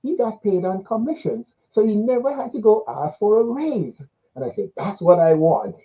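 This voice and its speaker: A man's voice: high voice, animated, moderate pace, phone-quality sound. An older man from Jamaica with a Jamaican accent, telling his own story as a guest in an interview. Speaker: interview guest, business coach.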